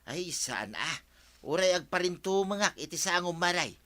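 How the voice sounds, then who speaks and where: A man's voice: high-pitched, sing-song, moderate pace, a bit bright. A man playing a cheeky, brazen petty thief who turns whiny, in an audio drama, with an Ilocano accent. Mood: whining, pleading. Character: cheeky male tilapia thief, brazen, whining when caught.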